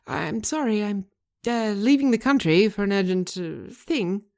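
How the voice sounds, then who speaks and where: A woman's voice: medium pitch, animated, darkish tone. A woman voicing a flustered, politely awkward man who stammers and hesitates in a scripted audio drama. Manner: halting, stammering.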